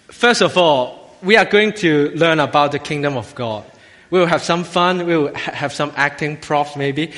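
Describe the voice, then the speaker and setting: Halting Hong Kong man preaching, bright voice. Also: a little high. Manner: halting, jokey, self-deprecating. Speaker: assistant lay minister preaching. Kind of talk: informal children's sermon to a congregation. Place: church, speaking to a congregation. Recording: long hall reverb.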